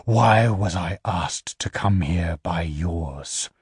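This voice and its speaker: A man voicing a cold, seductive inhuman creature in a spoken story, low and hushed, at times whispering. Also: takes it slowly, husky, dry recording, studio quality, exaggerated voice. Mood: coaxing. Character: sinister seductive infernal creature, calm and cold.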